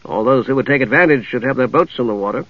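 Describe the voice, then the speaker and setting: A man's voice: mid-range voice, fast talker, dry recording, close to an everyday voice. Audiobook role: courtly confident knight, voiced by a man, formal address, muffled, close dry sound. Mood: assured. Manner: formal, gallant.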